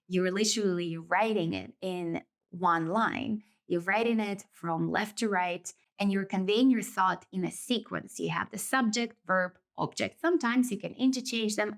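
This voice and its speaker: A woman with a Russian accent, a native Russian speaker, hosting a solo podcast in a thoughtful, measured way with small self-corrections. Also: mid-range voice. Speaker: podcast host. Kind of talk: reflective solo podcast monologue.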